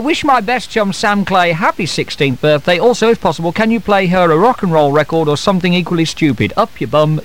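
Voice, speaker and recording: A man hosts a morning radio show, teasing callers and letter-writers in cheeky, rambling banter. His voice sits fairly high and swings widely in pitch. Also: a bit bright, a little hiss or hum, low-quality recording. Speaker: breakfast radio DJ. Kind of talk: jokey morning radio patter.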